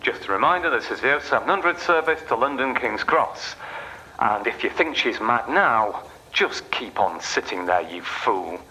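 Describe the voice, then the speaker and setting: A sardonic train guard in a radio play. His voice is bright and forceful at a middle pitch, mock-official, slipping into sneering, needling asides over faint background noise. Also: expressive, normal speed, low-quality recording.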